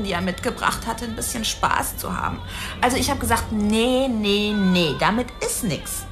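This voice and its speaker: A woman's voice: high-pitched, gravelly, fairly bright tone, heavily acted. An actress playing a brash young call girl in a radio play, streetwise and crude, talking in offhand slang. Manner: slangy, drawling, offhand frankness. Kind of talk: dramatic radio play dialogue.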